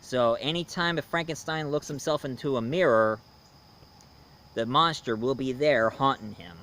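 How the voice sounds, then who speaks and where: A man giving a casual movie review as host, in a halting way with pauses mid-sentence and repeated phrases. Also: medium pitch, fairly bright tone.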